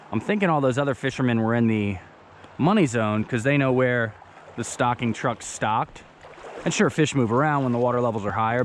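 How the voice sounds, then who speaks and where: A young man vlogging as he fishes outdoors by a creek, chatting in a relaxed, eager way into an action camera he wears. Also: mid-range voice, a little hiss or hum. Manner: relaxed, chatty, enthusiastic about fish. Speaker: fishing vlogger. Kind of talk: casual outdoor commentary. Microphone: action camera on the body.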